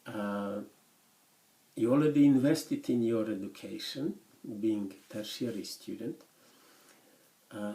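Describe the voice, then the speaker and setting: A man lecturing university students in English, which is his third language, speaking haltingly with many restarts and pauses. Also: lowish voice, dark tone. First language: not English; English is his third language after Swedish. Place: lecture room. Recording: echoey classroom recording.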